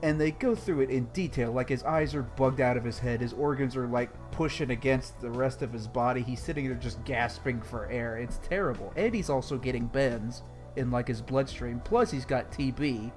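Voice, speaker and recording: Man, casual chat, vivid graphic retelling, mid pitch. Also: normal speed, faint music behind. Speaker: podcast co-host. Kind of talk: casual chat recapping a visual novel.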